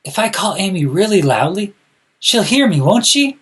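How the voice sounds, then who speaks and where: Storybook young boy, played by a man: raised pitch, animated swings, pleading and insistent. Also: moderate pace, slightly rough, full character voice. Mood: earnest pleading. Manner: insistent, earnest, calling out. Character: eager young boy in a picture book, pleading and calling.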